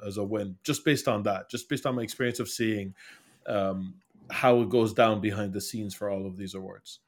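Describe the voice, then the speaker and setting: A man hosting a casual podcast, cheerful and quick to laugh. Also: on the low side, steady tone. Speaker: podcast host. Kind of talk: casual chat.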